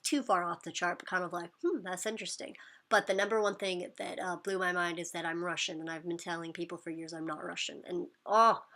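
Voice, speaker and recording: A woman's voice: fairly low pitch, pushed and bright. A woman talking casually to camera in a vlog, loose and chatty with lots of "like" and "um". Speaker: vlogger.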